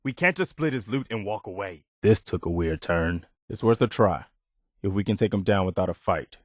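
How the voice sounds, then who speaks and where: An actor playing a principled, loyal and weary young militia soldier in a video game, speaking sincerely and plainly. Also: male voice, on the low side, lively intonation, moderate pace, no room sound, clean studio sound. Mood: wary, disapproving. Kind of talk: video game companion dialogue.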